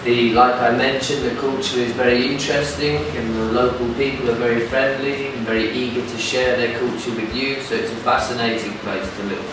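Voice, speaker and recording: Man, flat and echoey. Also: medium pitch, a little fast, hardly any pauses, recorded from a distance, background noise. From Birmingham, England. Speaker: English teacher. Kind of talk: recruitment video interview. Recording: echoey room from off-mic, music bed.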